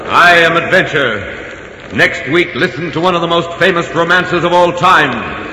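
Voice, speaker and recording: An actor voicing Adventure personified as a seductive, intense radio host, narrating theatrically in 1940s style with long reverb. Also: male voice, on the high side, animated, measured pace, sharp tone, full character voice. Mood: alluring intrigue. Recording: echoing hall-like reverb on noisy old radio.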